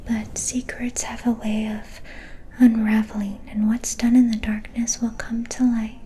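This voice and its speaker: Girl doing a sly vampire lady for asmr, whispery and breathy, kinda high, super slow, conspiratorial n coaxing. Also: little pitch movement, slight background noise, close to an everyday voice, rough recording. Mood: insinuating, secretive. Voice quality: soft breathy unvoiced whisper. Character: mysterious female vampire courtier, secretive, coaxing tempter.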